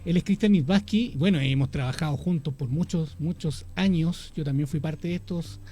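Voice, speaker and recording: A man hosting a late-night radio show, speaking with an easy, chatty manner. His voice sits a bit high but sounds dark and mellow. Soft music plays faintly behind him. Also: moderate pace. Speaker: radio host. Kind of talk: casual radio chat.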